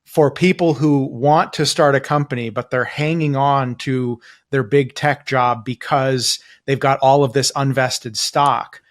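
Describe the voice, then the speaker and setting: A male podcast host talks with his guest in a relaxed conversational interview. His voice sits in a medium pitch, and he speaks emphatically, pressing his point. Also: measured pace, few pauses.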